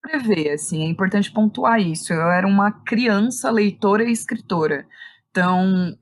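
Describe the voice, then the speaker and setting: A woman's voice: fairly low pitch, dry recording. A woman with a São Paulo Brazilian accent chatting informally as an interview guest, often checking in with little tag questions. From Santo André, São Paulo, Brazil. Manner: informal, conversational, uses frequent tag questions. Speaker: guest, theatre director and playwright. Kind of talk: casual interview chat.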